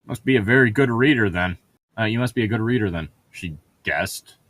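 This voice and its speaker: A man's voice: lowish voice, expressive, fairly quick, a bit bright, no room sound. A man reading a novel aloud and mocking it with crude, sarcastic, irreverent commentary. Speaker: co-host of a comedic read-along.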